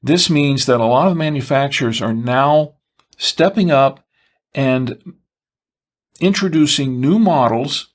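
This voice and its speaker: A man presenting explanatory product commentary, speaking in measured, deliberate phrases with pauses. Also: medium pitch. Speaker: presenter of a two-way radio show.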